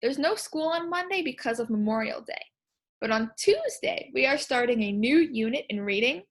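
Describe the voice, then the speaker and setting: A woman teacher reading a picture book aloud to children, expressively, pausing to ask questions and explain things simply. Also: sits high, sing-song. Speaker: primary school teacher.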